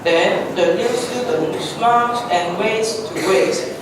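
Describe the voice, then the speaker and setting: A woman reads scripted stage narration very slowly at a middling pitch. The room is very echoey, with clear noise behind the voice. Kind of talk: scripted narration, readers' theatre. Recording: very echoey room, distant mic, noisy.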